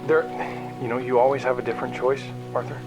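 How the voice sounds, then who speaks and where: A man acts a frustrated mechanic in a sci-fi audio drama. He has a middle-pitched voice and a casual, argumentative, naturalistic way of talking. Loud music plays behind him. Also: little pitch movement, fast, dull audio, close to an everyday voice. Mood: hurt, accusing. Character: frustrated young-ish male mechanic hurt by estranged best friend.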